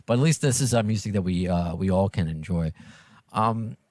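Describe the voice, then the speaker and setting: A man's voice: sits low, normal speed, a little mellow, dry recording, clean studio sound. A man with a New York accent hosting a podcast, chatting casually in a rambling, self-deprecating way. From Long Island and Brooklyn, New York. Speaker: podcast host. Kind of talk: casual interview chat.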